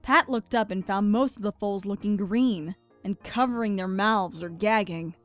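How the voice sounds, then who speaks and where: A woman's voice: mid-range voice, moderate pace, faint music behind. A woman reading scripted story narration.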